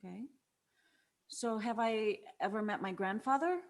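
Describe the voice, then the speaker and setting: A woman's voice: mid-range voice, normal speed, lightly acted. Blunt, impatient young woman, played by an actress. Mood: puzzled. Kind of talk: scripted table-read acting.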